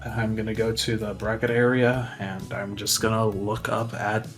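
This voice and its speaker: A man's voice: lowish voice, level tone, normal speed, soft background music. A man playing a tabletop roleplaying game, narrating his character's actions in a casual, improvised way.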